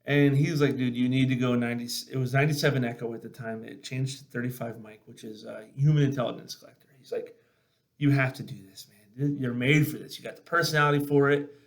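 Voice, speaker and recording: A man's voice: mid-range voice, fairly even intonation, fast. A man talking casually and earnestly as a show host, telling personal stories in an informal, emphatic way. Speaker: podcast host and former army sergeant. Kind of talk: casual conversational storytelling.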